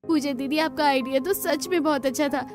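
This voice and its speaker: Woman playing a high-voiced, hopeful young daughter-in-law. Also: a little fast, over music, close to an everyday voice. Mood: cheerful. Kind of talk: cartoon story dialogue.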